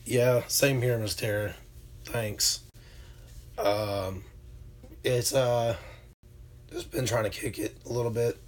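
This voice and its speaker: A hoarse man from Alabama, casually chatting with viewers on his livestream and answering their comments, with lots of ums and uhs. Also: mid-range voice. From Alabama, USA. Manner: relaxed, drawn-out with many fillers. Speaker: livestream host.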